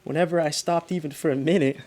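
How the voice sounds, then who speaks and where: An actor playing a frightened, exhausted driver in a radio drama, halting and muttering to himself. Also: male voice, a little high, steady tone, a little mellow, natural voice. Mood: weary, uneasy. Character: frightened, exhausted male driver haunted by a phantom. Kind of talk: radio drama monologue and dialogue.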